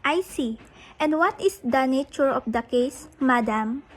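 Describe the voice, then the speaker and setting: Woman doing polite businesslike hospital receptionist, radio play, pretty high pitched, formal. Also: unhurried, poor audio. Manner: formal, courteous.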